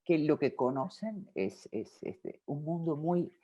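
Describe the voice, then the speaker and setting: A woman with a Rioplatense Spanish accent talks as a film director in an interview. Her pitch rises and falls a lot as she thinks aloud. She circles back and restarts her sentences. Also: sing-song, slow, dark tone. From Uruguay. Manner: reflective, with frequent fillers and restarts. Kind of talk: interview answers.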